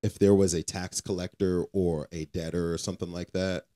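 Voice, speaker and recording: Low-voiced man, informal and emphatic. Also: flat delivery, brisk, dark tone. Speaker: podcast co-host. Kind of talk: casual faith conversation.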